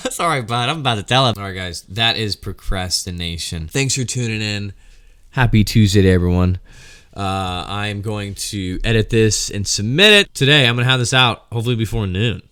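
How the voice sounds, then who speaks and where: A man tells a funny story in a loose, slangy, sweary way. His voice is bright and forceful, and his pitch swings widely as he animatedly acts out quotes and noises. It is casual host talk to his followers. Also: animated, normal speed, clear, resonant tone.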